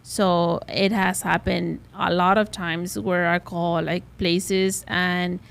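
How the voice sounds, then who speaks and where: A woman's voice: fairly low pitch, flat delivery, takes it slowly, creaky, clear, resonant tone. A woman with a Spanish accent, a realtor talking casually on a podcast with lots of "you know" and "like" fillers.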